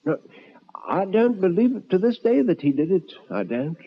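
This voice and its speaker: A man voicing a plain-spoken woman who insistently and pityingly defends a condemned gentleman in a radio ghost story. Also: high-pitched, very animated, normal speed, husky, dull audio, voice pushed up, full character voice.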